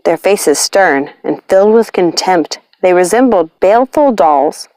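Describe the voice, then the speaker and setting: A woman's voice: on the low side, lively intonation, unhurried, a little mellow, no echo, low-quality recording. A woman narrating a scripted story as an audiobook reader.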